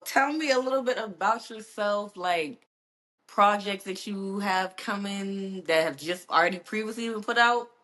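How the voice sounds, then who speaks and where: Brief: woman podcast host, mid pitch, bright tone, loose and chatty. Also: unhurried. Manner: chatty, filled with "like". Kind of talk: casual podcast interview.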